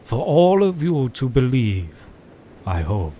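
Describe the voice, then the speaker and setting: A man playing a deliberate, portentous vampire-hunting professor. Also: medium pitch, very animated, warm tone, slight background noise. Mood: grave, knowing. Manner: formal, portentous, commanding. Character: grave, learned male professor and vampire hunter. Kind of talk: audio drama acting, period speech.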